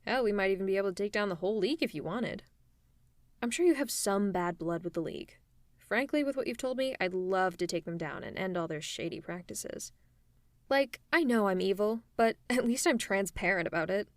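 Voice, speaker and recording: A woman's voice: medium pitch, animated, fairly quick, no room sound, studio quality. A woman voicing an adult female supervillain in a one-sided ASMR roleplay, talking in a teasing, persuasive way to a silent listener. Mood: scheming.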